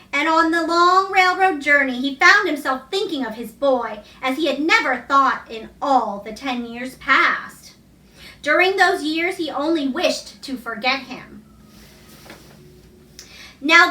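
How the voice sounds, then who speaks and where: A woman's voice: high voice, pushed and bright. A woman reading a novel aloud as storybook narration. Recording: echoey room, distant mic.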